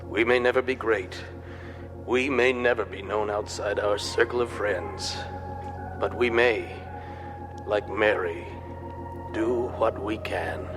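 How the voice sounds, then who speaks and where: An actor playing a fervent, plain-spoken 19th-century evangelist, preaching emphatically and deliberately in an audio drama. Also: male voice, on the low side. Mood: gently exhorting. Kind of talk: dramatised sermon. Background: music.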